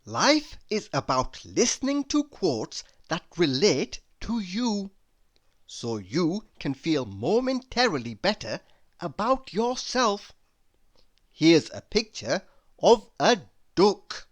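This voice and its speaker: Very slow, animated man reading quotes. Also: sits high. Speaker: reader. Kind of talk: reading humorous quotes aloud.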